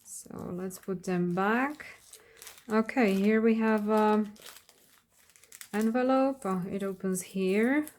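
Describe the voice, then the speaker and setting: A woman whose first language is Slovak, giving an unscripted flip-through of a handmade craft journal in a hesitant manner, often pausing and searching for words. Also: medium pitch, a little mellow. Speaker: craft vlogger.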